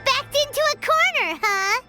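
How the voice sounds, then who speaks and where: A woman playing a small, childlike, excitable fairy companion in a video game, with an expressive delivery. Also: normal speed, music in the background. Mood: puzzled. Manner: squeaky, expressive. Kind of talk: video game character acting.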